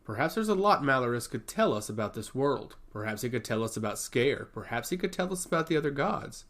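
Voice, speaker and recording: A man's voice: medium pitch, big pitch swings, a little fast, fairly bright tone. Man voicing a blunt, wry noble brother. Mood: thoughtful, wry. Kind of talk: fantasy audiobook character voice.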